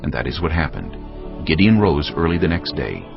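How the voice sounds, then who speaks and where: A male narrator with a deep, creaky voice and highly animated delivery. He reads scripture as dramatic storytelling over music. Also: brisk. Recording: muffled audio under music.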